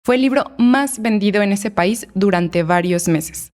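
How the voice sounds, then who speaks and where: A woman narrating a documentary podcast in a measured, scripted storytelling voice. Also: medium pitch, a little mellow.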